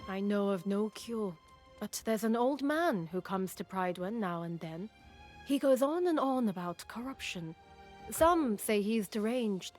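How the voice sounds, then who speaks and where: A woman's voice: mid-range voice, lightly acted. Female actor as gracious fantasy game monarch: sincere, concerned, humble, mid-range voice with music underneath. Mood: concerned, earnest. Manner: sincere, measured. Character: gracious, earnest young warrior queen, humble and concerned. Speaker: video game character. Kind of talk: dramatic game cutscene acting.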